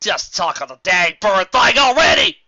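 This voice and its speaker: A performer voicing a gruff, impatient country farm mare with a growling, folksy, half-shouting delivery. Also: mid-range voice, very animated, normal speed, no room sound, lo-fi sound, voice pushed up, full character voice. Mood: irritated growl.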